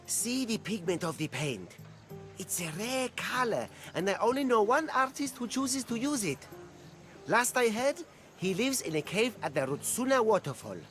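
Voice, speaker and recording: A man's voice: high voice, big pitch swings, moderate pace, fairly bright tone, soft background music. A middle-aged man playing an earnest, cautious ancient Greek street vendor in a video game, speaking in a cautioning manner. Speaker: non-player game character. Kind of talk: scripted game dialogue.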